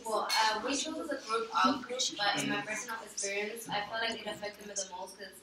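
A woman's voice: medium pitch, a little fast, a crowd in the background. Earnest young woman from the Dominican Republic. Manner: earnest, hesitant starts. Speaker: student. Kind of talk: classroom discussion answer. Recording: echoey hall, far from mic, noisy.

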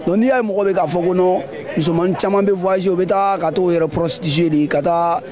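A man's voice: high-pitched, fairly even intonation, a little fast. A young man answering a street interview at an outdoor market, emphatic and repeating his points. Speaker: passerby interviewee. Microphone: handheld mic held by interviewer. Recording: crowd noise of a busy market.

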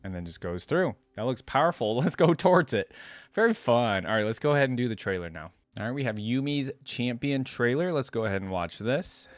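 A man's voice: mid-range voice, lively intonation, a little fast. A young man hosting casual reaction commentary, close on a desk microphone. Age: young adult. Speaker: reaction video host. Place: small indoor room at a desk. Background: music from the watched videos.

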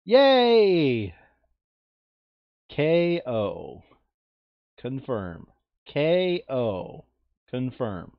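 A man's voice: medium pitch, sing-song, extremely slow, pauses often, no echo, highs cut off. A man chatting casually and informally while playing a board game, muttering rules aloud and joking. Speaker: board game player in a recorded play session. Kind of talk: casual gameplay chat.

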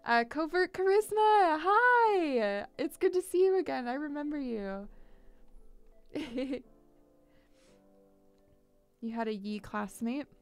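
Young woman streamer, chatty and bright-pitched, light fry, close studio mic, faint music underneath. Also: animated, takes it slowly, pauses often, no room sound. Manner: chatty, upbeat, squeals greetings at viewers. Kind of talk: casual live-stream chat. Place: small home studio, indoors. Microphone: large studio mic on boom, headphones.

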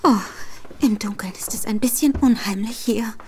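Woman acting a high, coy young lady. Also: moderate pace, very noisy, close to an everyday voice. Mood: coaxing. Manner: coy, polite, teasing. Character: coy, sly young woman feigning innocent servant-girl respectability. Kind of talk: audio drama acting.

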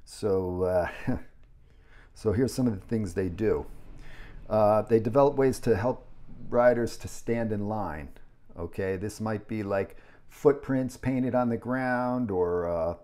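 An American man in his sixties giving casual commentary as he reads an article aloud, halting often and rambling into asides. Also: fairly low pitch, dark tone. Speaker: vlogger. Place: indoors in a small home kitchen.

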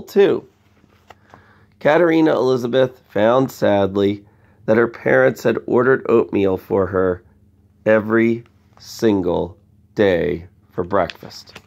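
A man's voice: medium pitch, gravelly, highs cut off. A man reading a picture book aloud to children, expressively, with chatty asides and questions to young listeners. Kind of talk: bedtime story read-aloud with conversational asides.

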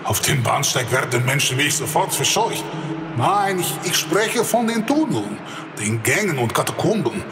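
A man playing a drunken, rambling homeless man, loud one moment and sing-song the next. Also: on the high side, very animated, moderate pace, roomy sound, background noise. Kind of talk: audio drama acting.

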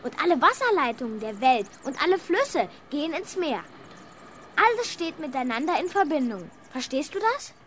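Boastful older schoolboy ringleader, 1970s radio play; shrill-high, animated, pushy. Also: normal speed, a little hiss or hum. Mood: bossy, scheming. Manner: bossy, goading. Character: cocky older schoolboy prankster, bossy and boastful. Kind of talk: 1970s German children's radio play acting.

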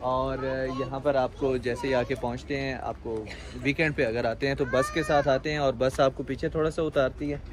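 A man's voice: mid-range voice, fairly even intonation, normal speed, hardly any pauses. Chatty man vlogging outdoors. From Pakistan. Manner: chatty, upbeat guide talking straight to camera. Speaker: travel vlogger. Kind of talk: casual travel vlog narration. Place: outdoors and in a car, on the move. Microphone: handheld camera at arm's length. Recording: noisy outdoor vlog sound.

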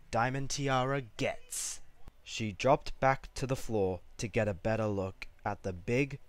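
A man's voice: medium pitch, no echo. A man reading a piece of fiction aloud as a scripted story narration. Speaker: fan fiction reader.

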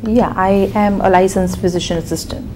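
A woman in her thirties from India with a Gujarati accent, answering questions in a deposition in a conference room, soft-spoken and hesitant. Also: mid-range voice, steady tone, normal speed, background noise. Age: 30s. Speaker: deposition witness, physician assistant. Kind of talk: answering questions under oath.

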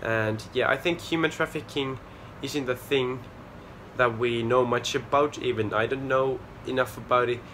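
A man's voice: mid-range voice, fairly even intonation, fairly bright tone, slight background noise. A young man with a Finnish accent, talking casually to the camera on a small outdoor porch, earnest and a little sheepish. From Finland. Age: young adult. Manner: earnest and a bit sheepish, with filler pauses. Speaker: charity walker. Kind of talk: casual vlog talk to camera. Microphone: camera at close range.

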